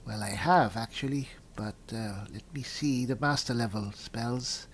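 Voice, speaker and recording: A man plays through a fantasy roleplaying game, speaking as his character. He is polite and thinks out loud, shifting between in-character lines and reading game text at a medium pitch. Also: unhurried, slight background noise. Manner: polite, musing aloud, reads spell descriptions out. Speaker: gaming video host and player.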